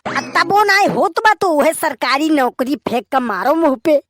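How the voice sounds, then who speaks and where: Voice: high voice, expressive, a little slow, a bit bright, dry recording, studio quality. A performer voicing an opinionated, grumbling young village bachelor in a comedy cartoon, with an Awadhi accent and a complaining, lecturing delivery. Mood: preachy, cocky.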